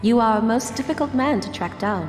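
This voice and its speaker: An actress playing a polite, precise, inquisitive temple archivist in a sci-fi video game cutscene. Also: female voice, fairly high pitch, expressive, brisk, lightly acted. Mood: formal, inquiring. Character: formal, inquisitive female Jedi Temple archivist. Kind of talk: scripted game cutscene dialogue. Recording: echoey hall reverb, faint music.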